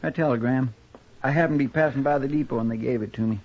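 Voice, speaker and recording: An actor playing an eager, anxious, respectful sidekick to a marshal in a 1950s radio western, folksy and hesitant. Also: male voice, mid-range voice, fast, lightly acted. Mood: earnest, curious. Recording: muffled, noisy, crackly old radio recording.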